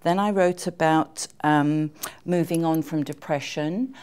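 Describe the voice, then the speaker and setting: A woman's voice: deep voice, measured pace, a little mellow, clear, resonant tone. A middle-aged woman who is an author and seminar attendee, giving an informal spoken testimonial.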